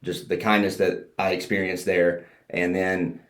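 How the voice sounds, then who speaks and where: A man's voice: deep voice, flat delivery, a little slow, a bit bright. A man hosting a casual podcast interview, asking his guest questions in a chatty, friendly way. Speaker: podcast host. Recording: roomy echo.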